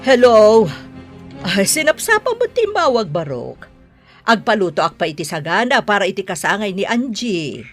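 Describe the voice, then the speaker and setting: A woman playing a doting, excitable older mother and grandmother-to-be, motherly and expressive, in a radio drama. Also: medium pitch, moderate pace, soft background music, close to an everyday voice. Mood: flustered.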